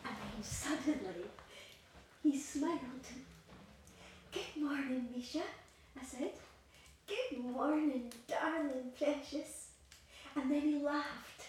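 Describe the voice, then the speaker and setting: A woman's voice: high voice, slow pace, pauses often, darkish tone. A woman playing an anxious, controlling young mother and wife in an audio play, insistent and fussing. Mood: doting. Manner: insistent, nagging, doting on her baby. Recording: very echoey room, distant, faint hiss.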